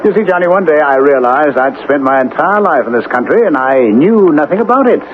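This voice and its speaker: An actor playing a genial ex-army officer turned gentleman scholar in a radio drama, speaking in an expansive, coaxing manner. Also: fairly high pitch, expressive, brisk, phone-quality sound, noisy background, natural voice. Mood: jovial. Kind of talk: 1980s radio drama acting.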